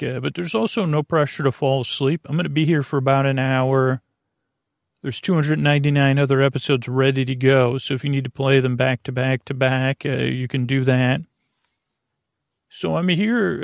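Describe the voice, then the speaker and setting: A man's voice: medium pitch, no echo, clean studio sound. A man hosting a bedtime sleep podcast in a rambling monologue, often interrupting himself and trailing off mid-thought. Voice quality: creaky, gravelly. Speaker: podcast host.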